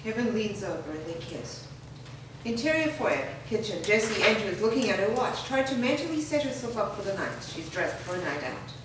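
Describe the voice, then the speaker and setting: A woman's voice: mid-range voice, big pitch swings, moderate pace. A woman reading scripted stage directions as the narrator of a staged reading. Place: live reading in a reverberant room. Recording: echoey noisy room, distant microphone.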